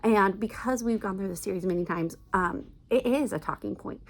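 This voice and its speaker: A woman's voice: mid-range voice, normal speed. A middle-aged woman giving casual, chatty recommendations as a book vlogger, with an enthusiastic, emphatic manner.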